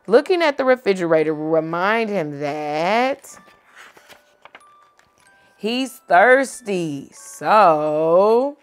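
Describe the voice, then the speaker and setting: A young woman reading a picture book aloud to a child at home, gentle and expressive. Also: lowish voice, very slow, long pauses, faint music behind. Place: home bedroom, indoors.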